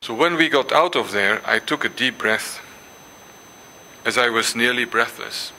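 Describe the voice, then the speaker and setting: Older man, native Dutch speaker, lecture hall, very bright tone, faint background noise, halting delivery. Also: mid-range voice, expressive. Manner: hesitant, many ums and restarts. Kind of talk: symposium lecture with reading aloud.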